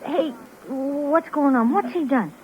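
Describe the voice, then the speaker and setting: A woman's voice: high voice, slow. A woman playing a brassy, sassy young American waitress in a 1950s radio crime drama, talking in indignant slang. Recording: muffled, noisy old 1950s radio recording.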